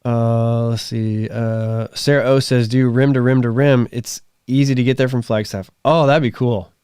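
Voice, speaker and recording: A man in his thirties hosting a live stream, chatting casually and reading viewer comments, his voice strained and raspy, talking in rambles full of fillers. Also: mid-range voice, fairly even intonation, normal speed, darkish tone, no echo, clean studio sound. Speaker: livestream host.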